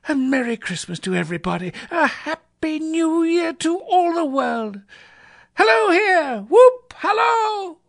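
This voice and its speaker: A woman's voice: a little high, very animated, normal speed, gravelly, breathy edge, no echo, clean studio sound, pitched above the performer's own voice, exaggerated voice. A woman voicing an elderly male miser from a storybook, giddy with joy and exclaiming in breathless, repeated bursts. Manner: exuberant, exclamatory, breathlessly repetitive. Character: elderly miser man, reborn giddy and overjoyed.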